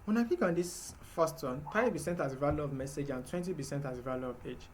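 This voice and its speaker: A man's voice: a little high, fast talker, slight background noise. A man teaching a live programming class, explaining patiently step by step with fillers. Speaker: programming instructor.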